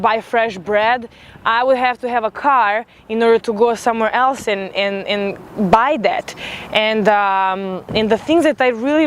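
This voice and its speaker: A woman's voice: a little high, slight background noise. Woman, twenties, from Serbia, international student, emphatic, opinionated, outdoor interview. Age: 20s. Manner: emphatic, opinionated, with frequent fillers. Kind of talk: interview answers.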